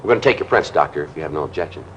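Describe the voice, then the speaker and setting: An American actor playing a stoic, businesslike police sergeant in a 1950s radio drama, terse and matter-of-fact. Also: male voice, extremely low pitch, animated, racing, a little hiss or hum. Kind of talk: 1950s radio acting, clipped procedural narration. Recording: muffled old radio recording with music.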